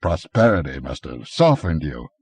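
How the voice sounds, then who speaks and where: A man reading an audiobook, voicing a brawny barbarian adventurer who speaks in a gruff, commanding way, a little higher and louder than his narration. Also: very animated, moderate pace, dry recording, exaggerated voice. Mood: scornful.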